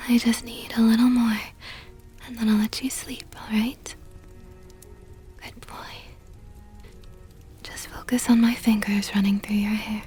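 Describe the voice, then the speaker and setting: A woman voicing a possessive, doting vampire countess in an intimate ASMR roleplay, whispering softly and slowly with a tender, teasing, coaxing manner. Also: a little high, little pitch movement, soft background music, lightly acted. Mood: seductive, coaxing. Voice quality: breathy, soft whisper. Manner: slow, coaxing, affectionate murmur.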